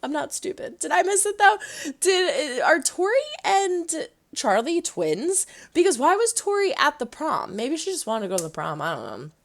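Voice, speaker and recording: A woman hosting a casual reaction vlog, chatty and animated, her voice going hoarse. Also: sits high, normal speed. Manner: chatty, animated, rambling with restarts. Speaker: reaction video host.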